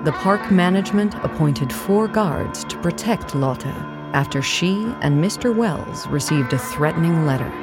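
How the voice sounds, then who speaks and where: A narrator reading scripted historical material for an audio play in a measured, matter-of-fact way, with music underneath. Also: medium pitch, lively intonation, lightly acted. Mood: measured, factual.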